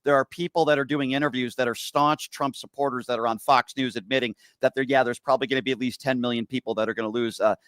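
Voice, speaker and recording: A man's voice: medium pitch, fairly even intonation, quick pace, hardly any pauses, fairly bright tone, no echo. A man from Connecticut hosting a heated political debate, pressing his guest with rhetorical questions. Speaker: host.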